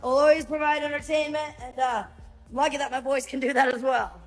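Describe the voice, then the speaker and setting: Young woman, high and emphatic, echoing clip over faint music. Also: flat delivery, fairly quick. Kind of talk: casual clips from interviews and social videos.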